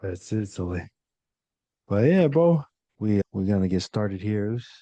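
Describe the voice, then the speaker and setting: A man hosting a podcast interview, chatting casually with lots of fillers in a relaxed, laid-back way. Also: fairly low pitch, unhurried, no room sound. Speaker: podcast host.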